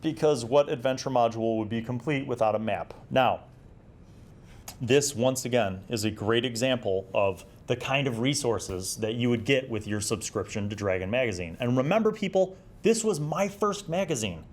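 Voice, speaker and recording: A man's voice: mid-range voice, expressive, normal speed. A middle-aged man hosting a review series, talking casually and enthusiastically in an informal, rambling way as he pages through material. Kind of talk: casual chatty magazine review, commenting while paging through.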